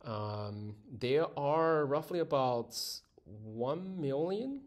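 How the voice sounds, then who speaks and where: A man narrating a casual travel vlog as he walks through streets, a mall and a subway station, halting with many fillers and self-corrections. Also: medium pitch, sing-song, lo-fi sound. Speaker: travel vlogger.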